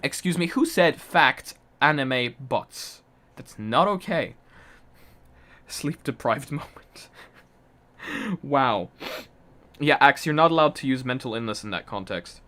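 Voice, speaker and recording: A man's voice: medium pitch, lively intonation, slow pace, sharp tone. A young man from Poland chatting casually on a live stream, reading chat aloud and laughing often.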